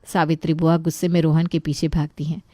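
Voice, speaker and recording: Female narrator for scripted bedtime-style tales, soft dark timbre, very dry studio-like sound. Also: sits low, flat delivery, a little fast, no echo. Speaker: story narrator.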